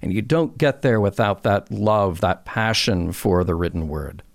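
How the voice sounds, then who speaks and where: A man's voice: lowish voice, lively intonation, unhurried, dry recording, clean studio sound. A man speaking as a podcast guest, conversational and emphatic, repeating phrases for emphasis. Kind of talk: conversational commentary.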